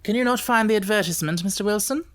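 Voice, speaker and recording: A man voicing a brilliant gentleman detective in an audiobook story, self-assured, urbane and didactic, with wry amusement. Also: high-pitched, rapid-fire, pitched above the performer's own voice.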